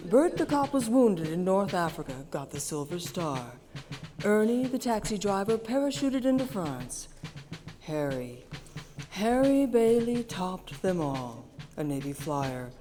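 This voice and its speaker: A calm storyteller who speaks slowly in a mellow, somewhat low voice. Her words carry through an echoey hall, with faint music behind her. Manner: measured, unhurried storytelling. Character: calm storytelling female narrator. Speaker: narrator. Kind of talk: live radio play narration. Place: theater stage.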